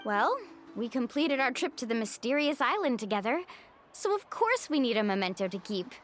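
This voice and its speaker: An actress playing an upbeat, friendly young tour guide and adventurer in an anime game, breezy and sincere. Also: female voice, fairly high pitch, very animated, moderate pace, soft background music. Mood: cheerful.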